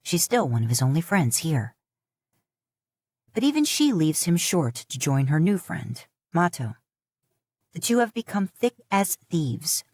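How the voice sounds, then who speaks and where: A woman's voice: low voice, a little mellow, dry recording, clean studio sound. A woman reading a scripted story aloud in the third person, as an audiobook narrator. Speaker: fan fiction audiobook narrator.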